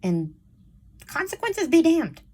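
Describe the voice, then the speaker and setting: A woman chats to her audience in a voice that sounds childish and young. Her pitch swings up and down a lot as she rambles. She speaks frankly and uses plenty of fillers. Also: huge pitch swings, slow pace, darkish tone. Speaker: vlogger. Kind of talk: casual chat to camera.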